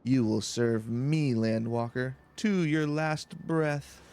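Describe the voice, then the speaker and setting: A man's voice: medium pitch, slow pace, darkish tone, smooth. A man voicing a vengeful, grandiose wind goddess in a fantasy game, declaiming theatrical threats. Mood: vindictive. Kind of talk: villain monologue read aloud from a fantasy game.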